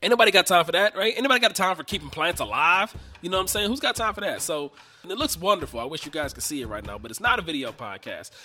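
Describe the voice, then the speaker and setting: A man's voice: sits high, expressive, racing, pushed and bright, faint music behind. A man hosting a podcast in a casual, slangy monologue, a little nasal and congested from a cold. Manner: laid-back, slangy, chatty. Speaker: podcast host. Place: home recording booth.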